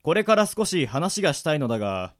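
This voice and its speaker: A man's voice: on the high side, big pitch swings, fairly quick, a little hiss or hum. Man playing a stiff, commanding council president. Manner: stiff, formal, self-assured. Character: commanding student council president, teen boy. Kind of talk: amateur radio drama.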